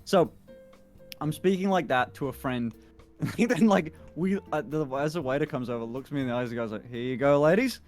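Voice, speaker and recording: A man's voice: on the high side, fairly quick, darkish tone, faint music behind. A man chatting casually as a stream guest, rambling, laughing a lot and swearing freely. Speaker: guest on a livestream call. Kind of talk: casual chat.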